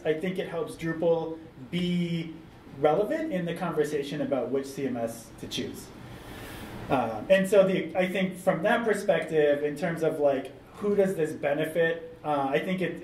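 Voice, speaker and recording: A man's voice: on the high side, darkish tone. Man answering on a panel, roomy. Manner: thoughtful, frequent ums, rephrases the question. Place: meeting room. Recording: roomy, distant mic, faint noise.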